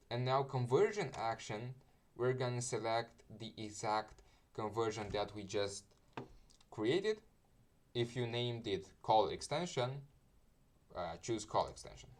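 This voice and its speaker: Low-voiced man, halting casual tutorial delivery. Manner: hesitant, halting, loose phrasing with repeated "you know" asides. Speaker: marketing tutorial host and media buyer. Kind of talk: casual step-by-step screen tutorial.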